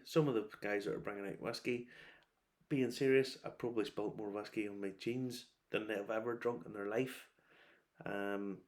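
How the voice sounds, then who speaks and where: A middle-aged man giving a casual, rambling review, opinionated and wry, breaking into animated asides. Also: on the low side. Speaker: whiskey reviewer.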